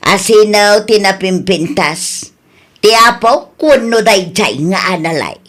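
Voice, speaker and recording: A woman's voice: medium pitch, expressive, takes it slowly, fairly bright tone. A woman with a Filipino accent playing a doting, stubborn old grandmother who complains emphatically in a radio comedy drama. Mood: stubborn, complaining.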